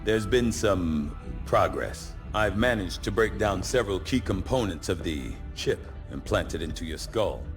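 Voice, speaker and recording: An actor playing a formal, wordy research scientist who speaks in measured, scholarly phrases in a video game cutscene. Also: male voice, fairly low pitch, natural voice. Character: cerebral male research scientist, formal and verbose. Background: music.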